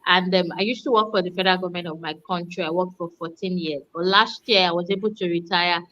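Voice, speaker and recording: A woman's voice: on the low side, fairly even intonation, quick pace, pushed and bright, no room sound. A cheerful woman from Nigeria introducing herself on a video call, laughing easily and sounding thankful. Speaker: guest mentor. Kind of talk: livestream self-introduction. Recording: slightly dull audio.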